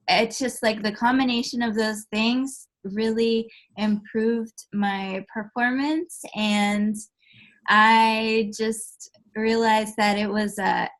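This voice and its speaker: A woman speaking as a sound healing therapist in a podcast interview, soft-spoken, explaining things gently. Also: mid-range voice, fairly even intonation, slow. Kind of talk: conversational interview answers. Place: podcast recording.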